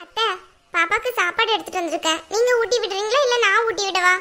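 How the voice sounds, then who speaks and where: A woman plays a meek young daughter-in-law who pleads respectfully. Her voice is high and bright. She sounds puzzled and defensive. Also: fast. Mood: pleading.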